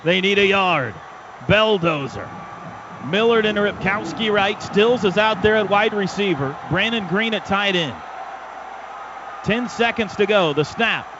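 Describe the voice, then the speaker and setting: An American man calling live football on radio play-by-play, excitable, building drama in short punchy phrases. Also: high-pitched, measured pace. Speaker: play-by-play announcer. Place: stadium radio booth. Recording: dull noisy stadium radio broadcast.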